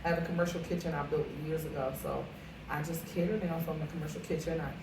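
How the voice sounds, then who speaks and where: A woman's voice: low-pitched, fairly even intonation, fast, distant mic. Chatty woman recorded echoey and off-mic. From Philadelphia. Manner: chatty, rambling, with many fillers. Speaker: caterer and realtor, interview guest. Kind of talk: casual interview conversation. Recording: echoey room, off-mic, noisy.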